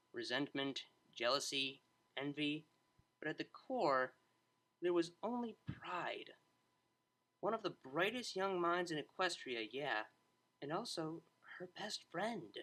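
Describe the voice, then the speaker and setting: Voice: medium pitch, pushed and bright, low-quality recording. A narrator reading a scripted story aloud in prose.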